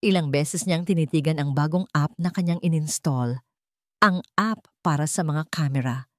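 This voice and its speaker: A woman with a fairly low voice narrates a scripted drama. The recording is dry and close, with little room sound. She reads as a storyteller. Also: normal speed, no echo.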